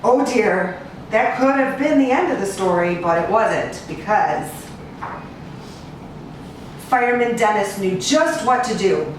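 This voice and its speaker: An American woman reading a children's storybook aloud in an expressive, sing-song manner. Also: mid-range voice. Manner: expressive, sing-song listing with drawn-out repeats. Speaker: animal cruelty investigator and rescue agent reading to children. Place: echoey indoor room, away from the mic. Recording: distant, very echoey room with hiss.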